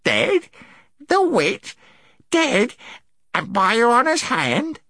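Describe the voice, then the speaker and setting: Tiny whimpery storybook gnome, servile and chatty, squeaky, voice jumps all over. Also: male voice, huge pitch swings, measured pace, gravelly, bright, forceful tone, no echo, clean studio sound, heavily acted. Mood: astonished, relieved. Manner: servile, rambling, wide-eyed. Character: small timid cave gnome, whimpering, then eager and chatty.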